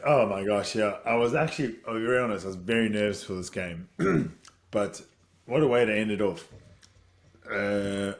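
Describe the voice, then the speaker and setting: A young man from South Africa co-hosting a casual podcast, gushing and halting as he talks. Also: fairly low pitch. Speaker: podcast co-host.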